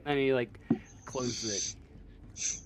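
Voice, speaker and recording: A man improvising narration as a tabletop game master, halting as he searches for words. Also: medium pitch. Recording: faint room noise.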